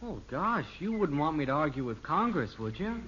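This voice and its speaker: A man's voice: on the high side, big pitch swings, normal speed. A man playing an eager, wheedling American teenage son in a 1950s radio sitcom, boyish and earnest. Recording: dull, noisy old radio recording.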